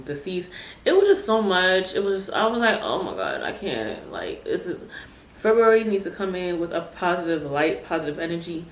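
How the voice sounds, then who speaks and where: Chatty low-voiced New woman, roomy. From Brooklyn, New York City. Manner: chatty, animated asides like "child" and "honey". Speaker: podcast host. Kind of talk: casual chat. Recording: echoey room with faint noise.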